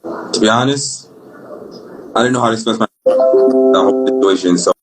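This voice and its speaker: A young man with a Brooklyn accent, an R&B singer talking about his life in a relaxed, rambling, slangy way. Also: fairly low pitch, moderate pace, loud music behind the voice, rough recording. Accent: Brooklyn New York. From Brooklyn, New York. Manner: laid-back, rambling, slangy. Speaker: singer guest. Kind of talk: casual interview talk.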